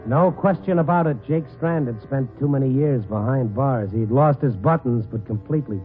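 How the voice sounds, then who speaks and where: An actor playing a world-weary, wisecracking reporter in a hardboiled 1950s radio noir, both narrating and in scene. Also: male voice, medium pitch, normal speed, natural voice. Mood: wry, brooding. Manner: hardboiled, wry, terse. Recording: narrow, muffled 1950s radio broadcast. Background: dramatic background music.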